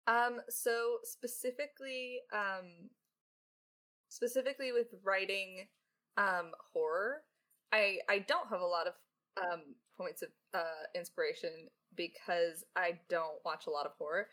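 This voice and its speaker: A talkative woman speaking as a podcast writer in a casual Q&A, reflective and halting, with self-deprecating asides. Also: medium pitch, a bit bright. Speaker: podcast lead writer answering questions. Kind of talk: casual creator Q&A.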